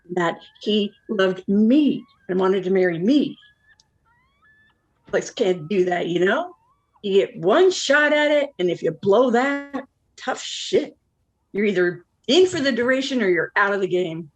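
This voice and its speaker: Woman acting a wry, world-weary jilted woman, unhurried. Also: medium pitch, breathy edge. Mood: hurt, earnest. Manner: candid, rueful, deadpan asides. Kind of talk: dramatic monologue in a one-act play.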